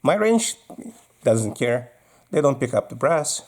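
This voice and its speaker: A man's voice: mid-range voice, big pitch swings, slow pace. A man with a Russian accent giving practical, casual instructions as a video host, explaining while handling his gear.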